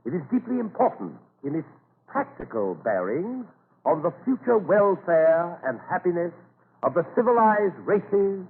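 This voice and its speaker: A solemn Victorian man of science declaims formally in an old radio play. His fairly high voice swings widely in pitch over a tinny, noisy recording. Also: sing-song, moderate pace. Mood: solemn, urgent. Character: grave, eminent Victorian male scientist warning mankind. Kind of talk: 1930s radio oratory acting.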